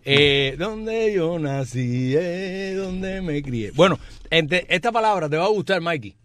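Jokey man, higher-pitched, dry sound. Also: animated, takes it slowly, no echo. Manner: jokey, teasing, plays with words. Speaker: show host reading listener messages. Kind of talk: playful casual radio chat.

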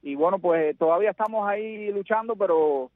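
Un hombre cubano llama a un programa de radio y agradece con muchas pausas y muletillas. Su voz es algo aguda. Se oye por una línea telefónica estrecha y metálica. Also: male voice, high voice. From Cuba. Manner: hesitant, many fillers, effusive thanks. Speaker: caller. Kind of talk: phone call to a radio show. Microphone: telephone. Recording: tinny phone line.

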